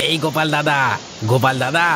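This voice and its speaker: A man's voice: a little high, steady tone, a little fast, sharp tone, slight background noise, rough recording. A man voicing a chummy, idle village man who loves gossip in a cartoon, exclaiming in a familiar way. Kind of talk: Bengali cartoon dub dialogue.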